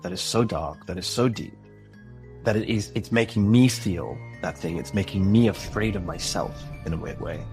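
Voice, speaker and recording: A man talking as a podcast guest about creating an audio drama, thoughtful and earnest. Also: low-pitched. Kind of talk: reflective interview talk.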